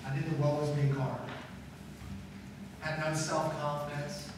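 A man's voice: a little high, little pitch movement, measured pace, distant mic, noisy background. Middle-aged male pastor preaching in an echoey hall. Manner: conversational preaching, repeats phrases, rising emphasis. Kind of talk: chapel sermon to students. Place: school auditorium stage with seated audience. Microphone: far camera in hall.

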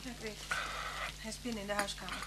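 A woman's voice: medium pitch, fairly even intonation, quick pace, lightly acted. An actress playing an anxious, protective young woman in a radio drama, flustered and insistent, with stammering delivery. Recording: faintly noisy old radio recording with hum.

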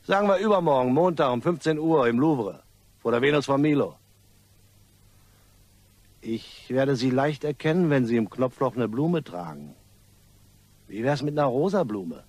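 Guy acting a smug blackmailer for an audio crime play, super polite but mocking, mid-to-low voice, dry sound. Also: lively intonation, normal speed, pauses often, a little mellow, no room sound, natural voice. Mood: smooth, commanding. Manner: suave, mock-polite, taunting. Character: smug male blackmailer, mockingly courteous and menacing.

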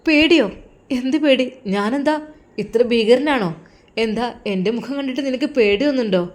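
A woman voicing a gentle newlywed husband who speaks softly and coaxingly, pitched lower and quieter than her own voice. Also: normal speed, lightly acted. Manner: soft, low, coaxing.

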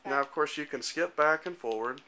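A man in his thirties giving an informal, matter-of-fact demonstration as a software developer, explaining things step by step into a handheld camera. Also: on the high side, fairly quick, slight background noise. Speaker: software developer presenting a demo. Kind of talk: informal product demonstration narration. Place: indoor room at home. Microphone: handheld camera microphone.

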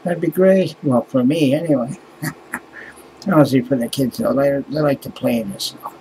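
A man's voice: medium pitch, moderate pace, mellow, slight background noise, poor audio. Mumbling, cussing man on a webcam. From Canada. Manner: mumbling, sighing, swears often, trails off. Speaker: vlogger. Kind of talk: casual rambling vlog. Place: small cluttered room indoors at night. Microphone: webcam close to face.

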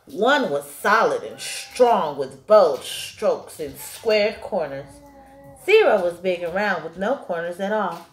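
A young woman reading a children's picture book aloud in an animated, emphatic way, as a teacher would to small children. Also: fairly low pitch, takes it slowly, reverberant. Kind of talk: expressive children's storybook read-aloud. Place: small classroom-like room, indoors.

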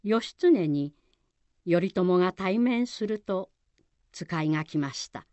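A woman's voice: on the low side, animated, slow, dark tone. A woman narrating a historical folk tale for a radio drama as a scripted storyteller. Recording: dull, lo-fi sound. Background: music.

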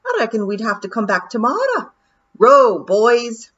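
A woman's voice: on the high side, big pitch swings, unhurried, voice pushed up. Woman voicing a brash Scottish pixie chief. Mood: shouting. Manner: loud, boisterous, shouting. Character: brash tiny male pixie warrior leader. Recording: dull lo-fi audiobook recording.

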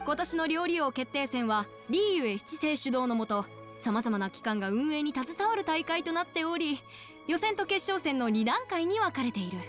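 A poised young female announcer in an anime game. Her high voice is formal and ceremonious, with measured phrasing. Light music sits underneath. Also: expressive. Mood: formal, proud.

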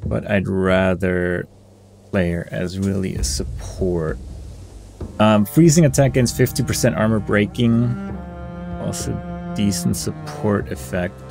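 A man's voice: on the low side, fairly even intonation, unhurried, creaky, darkish tone. A man giving casual game commentary, musing and weighing his options aloud in a hesitant way. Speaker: gaming video creator. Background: game music.

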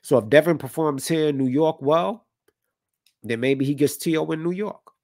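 A man's voice: on the high side, moderate pace, darkish tone, no echo, studio quality. A man in his thirties hosting a livestream, chatting with opinions and reading chat comments, a little stuffy from a cold. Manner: conversational, opinionated, reads chat comments aloud. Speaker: boxing livestream host. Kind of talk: casual talk with live chat. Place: home room with colored lights.

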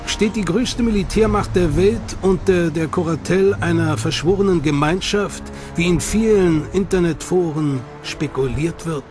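High-pitched male documentary narrator. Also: moderate pace, few pauses. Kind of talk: scripted narration. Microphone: studio mic. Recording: studio voice-over over loud music.

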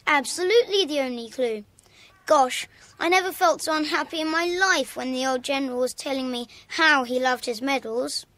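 A woman's voice: high voice, normal speed, a bit bright, dry recording. A woman with a British accent playing an earnest, indignant schoolboy in a children's audio drama. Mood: earnest, upset.

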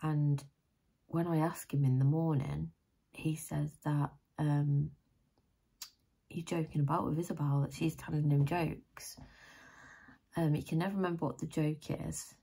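A tired British woman talks in a casual, rambling way, as if chatting to her viewers from a room at home. Her voice sits on the low side, with a gravelly creak and a husky, croaky edge. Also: steady tone, measured pace, long pauses, mellow. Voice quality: deep, croaky. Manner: rambling, chatty, thinking aloud. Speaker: vlogger. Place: small room at home, indoors.

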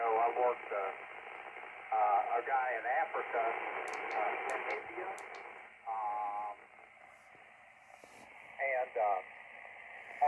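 Tinny radio, lively man. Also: mid-range voice. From United States. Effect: two-way radio transmission. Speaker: amateur radio operator. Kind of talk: casual chat over ham radio. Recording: narrow, phone-like radio audio with static.

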